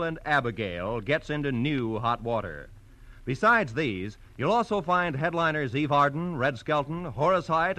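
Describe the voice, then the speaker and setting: A man's voice: a little high, pushed and bright. A man announcing on 1940s network radio, reading scripted promos in a polished, emphatic way.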